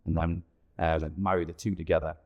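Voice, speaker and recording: A man's voice: sits low, level tone, brisk, highs cut off. A man, talking as a director in a casual interview. He is informal and enthusiastic in the way he explains things. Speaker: pantomime director and producer being interviewed.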